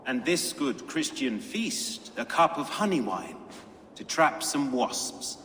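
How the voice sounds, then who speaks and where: An actor playing a devout, loyal Saxon retainer in a video game, disdainful and declamatory. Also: male voice, on the high side, sing-song, slow pace, a bit bright, full character voice. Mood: scornful, calm. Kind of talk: dramatic video game acting. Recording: reverberant hall, faint background noise.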